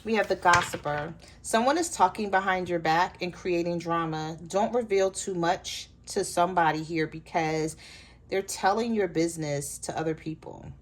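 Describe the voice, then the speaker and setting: A woman with a hoarse voice giving a chatty tarot reading straight to her viewers. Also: fairly low pitch, normal speed, slight background noise. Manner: relaxed, chatty, addresses viewers directly. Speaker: tarot reader.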